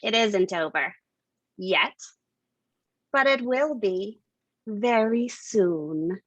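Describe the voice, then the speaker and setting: A woman playing a scornful, commanding femme fatale villain with a sardonic, deliberate delivery, in a noir staged reading with slightly dull sound. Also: medium pitch, animated, no echo. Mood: mocking.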